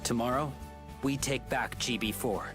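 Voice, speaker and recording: A man voicing a serious, steady team leader in an anime-style game, earnest and resolute. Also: medium pitch, normal speed, natural voice. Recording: game music behind.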